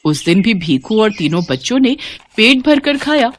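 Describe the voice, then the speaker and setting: A woman narrating a scripted children's story in an expressive storytelling style. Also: a little high, normal speed.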